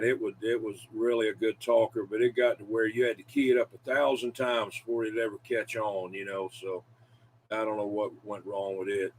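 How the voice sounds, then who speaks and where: A man's voice: lowish voice, a little hiss or hum, rough recording. A man in casual CB radio chat about equipment, rambling in a folksy way and dropping in radio slang. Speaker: CB radio hobbyist.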